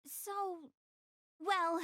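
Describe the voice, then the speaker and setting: A woman's voice: very high voice, steady tone, very slow, bright, forceful tone, dry recording, clean studio sound, heavily acted. A young woman playing a proud, easily flustered, cute anime goddess girl in a video game, speaking bashfully and defensively to the player. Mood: bashful, earnest. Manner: bashful, defensive, stammering when embarrassed.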